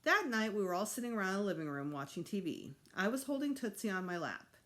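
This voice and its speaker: A woman teacher giving an expressive read-aloud of a children's story, with emphatic delivery. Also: mid-range voice, quick pace. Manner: animated, acts out dialogue with emphasis. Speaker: teacher reading a children's novel to students.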